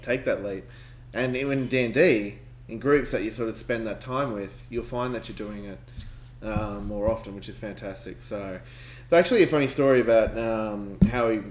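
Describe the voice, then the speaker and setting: A man's voice: medium pitch, fairly bright tone. A man co-hosting a casual podcast as a game master, talking with enthusiasm and frequent laughter. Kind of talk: casual chat. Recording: echoey room with faint rain ambience.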